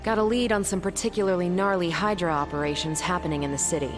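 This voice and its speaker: A woman's voice: lowish voice, steady tone, quick pace, music in the background, close to an everyday voice. A woman playing a confident superhero captain in a video game, with a breezy, wry, colloquial manner. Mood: wry, brisk. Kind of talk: video game cutscene acting.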